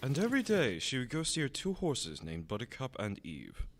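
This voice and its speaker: A man narrates a children's story as radio drama. His delivery is theatrical and swings widely in pitch, at a middling depth, over faint background noise. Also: wildly swooping pitch, moderate pace.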